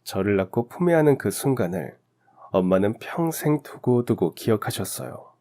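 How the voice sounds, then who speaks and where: Mellow, low-voiced male story narrator. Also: animated, moderate pace. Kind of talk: scripted first-person story narration.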